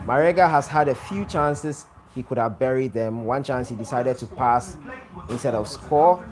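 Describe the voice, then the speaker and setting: A man's voice: medium pitch, normal speed, poor audio. A man from Ghana hosting a live football watch-along, chatting and greeting viewers by name as he reads their comments. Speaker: watch-along stream host. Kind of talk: live stream chat reading viewer comments.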